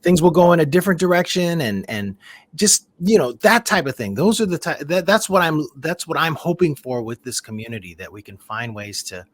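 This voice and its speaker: A man's voice: fairly high pitch, animated, fairly quick, dry recording. A man talking casually as a podcast guest on a video call, reflective and rambling, with many restarts. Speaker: podcast guest, community founder.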